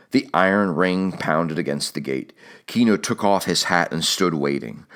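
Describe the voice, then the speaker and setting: A man reading a literary audiobook as scripted narration. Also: deep voice.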